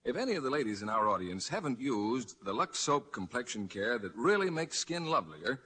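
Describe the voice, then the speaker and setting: A man's voice: medium pitch, sing-song, a little fast, bright, forceful tone. A man announcing a scripted soap advertisement in 1940s radio style. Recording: faintly noisy old radio broadcast.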